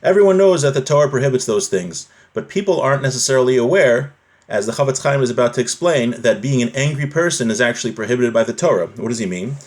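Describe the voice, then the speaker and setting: A man's voice: medium pitch, lively intonation, fast. Man teaching a religious text class. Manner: didactic, explaining point by point with rephrasing. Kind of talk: live class, reading a text and translating and explaining it.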